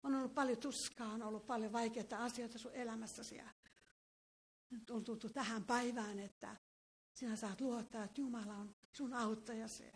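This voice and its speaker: A woman's voice: on the high side, steady tone, breathy edge. A woman leading a fervent charismatic prayer and prophecy in a meeting hall, breaking into tongues between phrases. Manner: fervent, prays with tongues interjected. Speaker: preacher.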